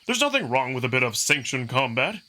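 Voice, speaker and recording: A man's voice: mid-range voice, very animated, brisk, bright, forceful tone. Man voicing a playful cocky wolf prince. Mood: playful taunting.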